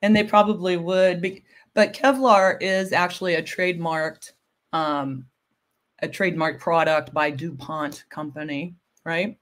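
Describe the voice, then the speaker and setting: Slightly hoarse woman explaining fabrics. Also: fairly low pitch, steady tone, measured pace. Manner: explanatory, often adds "right?" and "um". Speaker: guest expert on textiles. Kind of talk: casual livestream chat. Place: home room with window blinds. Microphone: video call.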